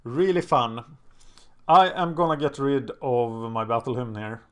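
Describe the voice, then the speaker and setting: A man with a Swedish accent talks through his card game as he plays, thinking aloud in a chatty way. His voice sits at an ordinary middle pitch. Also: measured pace.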